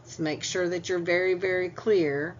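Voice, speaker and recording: Female math instructor, lower register, unhurried, stuffy-nosed; dull lo-fi lecture audio. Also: fairly even intonation. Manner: patient, explains step by step. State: stuffy nose, clearing throat. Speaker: math teacher. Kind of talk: recorded lecture. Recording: dull lo-fi audio with faint hum.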